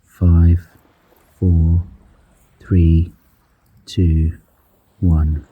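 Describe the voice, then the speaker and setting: A man guiding a breathing meditation in a slow, soft-spoken manner, counting the breaths aloud and dropping some counts to a whisper. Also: low voice, flat delivery, slightly rough, narrow, tinny audio. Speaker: meditation guide.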